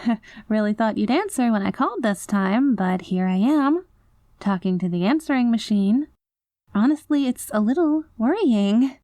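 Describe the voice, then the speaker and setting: A woman's voice: medium pitch, darkish tone, dry recording, clean studio sound, lightly acted. A woman playing an obsessive, clingy, doting girlfriend in an ASMR roleplay, rambling and gushing in an anxious, lovestruck way. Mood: anxious, insistent. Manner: gushing, rambling, with nervous giggles and breathy asides. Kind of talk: ASMR roleplay, acted voicemail monologue.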